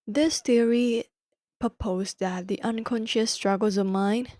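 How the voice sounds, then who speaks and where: A young woman with a Thai accent hosts a podcast, her voice warm and mellow. She talks slowly and deliberately, fixing her own words as she goes. The recording is close and dry. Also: a little high, steady tone, no room sound. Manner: careful, deliberate non-native English with self-corrections. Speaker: podcast host. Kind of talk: solo book-summary podcast.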